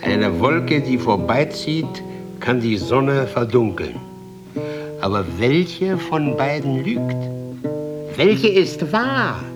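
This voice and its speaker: A man's voice: medium pitch, sing-song, takes it slowly. A man playing a sly, taunting minstrel in a German radio drama, telling tales poetically and with mocking questions. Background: plucked guitar-like string music.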